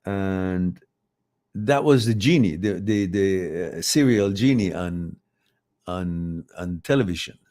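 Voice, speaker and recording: A man's voice: deep voice, lively intonation, slow pace, darkish tone. A middle-aged man, a Farsi speaker, hosting a live advice stream and reading out viewer questions, rambling and often correcting himself. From Iran. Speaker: host.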